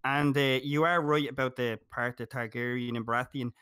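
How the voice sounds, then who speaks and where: A man's voice: mid-range voice, steady tone, normal speed, fairly bright tone, clear, resonant tone, no echo, clean studio sound. An Irish man talking as a guest in a casual podcast chat, joking and emphatic. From Ireland.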